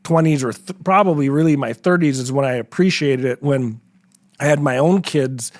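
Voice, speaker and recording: A middle-aged man, an author guest in an interview, telling stories in a relaxed, animated way and acting out quotes. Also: medium pitch, normal speed, dry recording, clean studio sound. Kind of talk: casual interview storytelling.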